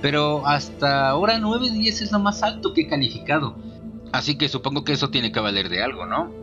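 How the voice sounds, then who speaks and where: A man's voice: on the high side, lively intonation, a little fast, dull audio, over music. A Mexican man giving an informal scripted film review in a sarcastic, slangy, opinionated way. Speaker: video reviewer.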